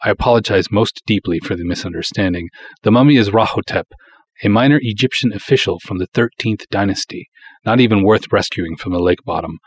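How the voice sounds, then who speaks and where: A man's voice: on the low side, brisk, breathy edge. A man voicing a small, sly foreign scholar, unctuous and formally polite, in a pulp storybook reading. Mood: smoothly apologetic. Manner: formal, courtly.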